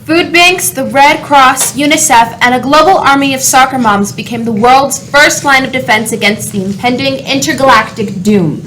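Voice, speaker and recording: A woman's voice: sits high, moderate pace, few pauses, fairly bright tone, a little hiss or hum, rough recording. A woman reading scripted, dramatic narration as a storyteller in a radio play.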